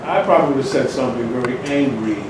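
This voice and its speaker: A man in the audience answering the speaker in a measured, reflective way, with many fillers. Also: medium pitch. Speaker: audience member. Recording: echoey hall, mic from far off, noisy.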